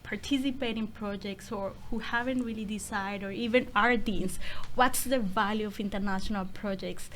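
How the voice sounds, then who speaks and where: Measured woman podcast host. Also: mid-range voice, fairly even intonation, a bit bright, a little hiss or hum. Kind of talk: interview questions.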